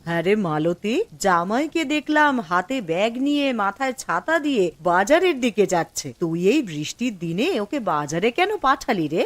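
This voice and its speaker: A woman voicing a gentle, motherly old grandmother in a Bengali moral-story cartoon. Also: medium pitch, sing-song, moderate pace, no echo, natural voice. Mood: concerned. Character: gentle old grandmother visiting her married daughter.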